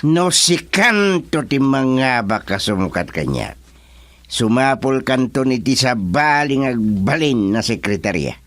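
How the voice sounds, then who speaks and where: An actor with an Ilocano Filipino accent playing a jolly, secretive older village chairman in a radio comedy, with drawn-out delivery. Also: medium pitch, very animated, fairly bright tone, dry recording. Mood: jolly, bossy. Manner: chuckling, drawn-out, exaggerated delivery.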